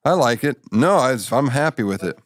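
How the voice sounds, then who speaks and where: A man's voice: mid-range voice, expressive, brisk. A man hosting a casual comedic interview, speaking bluntly and jokingly with short, probing questions and remarks. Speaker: talk show host.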